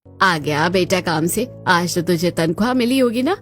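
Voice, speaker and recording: A woman playing a grasping, complaining older mother-in-law in a cartoon family drama, with a sarcastic, drawn-out delivery. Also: on the low side, animated, faint music behind, close to an everyday voice. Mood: coaxing, grasping.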